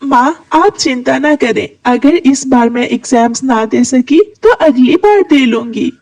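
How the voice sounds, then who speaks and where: A woman voicing a gentle, caring young daughter sparrow in a cartoon moral story, sweet and considerate. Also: high voice, lively intonation, unhurried, voice pushed up, heavily acted. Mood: reassuring.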